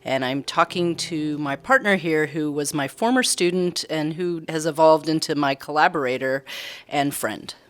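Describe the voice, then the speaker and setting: Woman in her fifties, low-pitched voice, engaged and curious, quick bursts of speech. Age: 50s. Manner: engaged, asks follow-up questions, speaks in quick bursts. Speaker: scientist interviewing her former student. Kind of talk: conversational interview.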